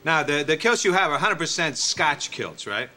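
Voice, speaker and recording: A man's voice: on the high side, sing-song, normal speed, sharp tone, a little hiss or hum, heavily acted. A man playing a naive, hesitant shop customer in a comedy sketch. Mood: amiable.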